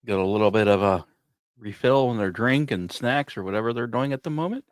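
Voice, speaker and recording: A man hosting a podcast, reading scripted science narration in a measured, explanatory way, recorded close. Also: lowish voice, no echo. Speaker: podcast host.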